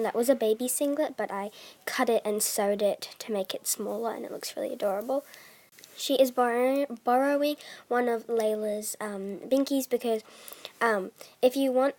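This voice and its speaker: A teenage girl chatting casually in a vlog, soft-spoken, with many fillers, and often trailing off into a whisper. She has a bad cough. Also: fairly high pitch. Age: teens. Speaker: doll collector vlogger. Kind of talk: casual chat.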